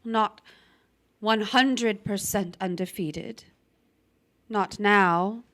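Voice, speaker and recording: An actress playing a proud, restless young wife in a stage drama, defensive and sharp-tongued. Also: female voice, medium pitch, extremely slow. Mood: confessional. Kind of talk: stage drama performed online.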